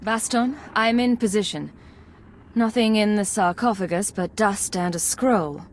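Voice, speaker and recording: A woman playing a guarded, composed intelligence agent in a video game, with a husky voice and a cool, controlled manner. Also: mid-range voice, measured pace, a little hiss or hum, lightly acted. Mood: businesslike. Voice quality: slightly husky. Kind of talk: video game cutscene acting.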